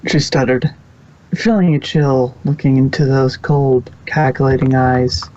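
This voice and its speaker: Man, amateur story narrator, mid-pitched voice, storybook reading, muffled lo-fi home mic. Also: level tone, measured pace, a little mellow. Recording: dull, lo-fi home microphone.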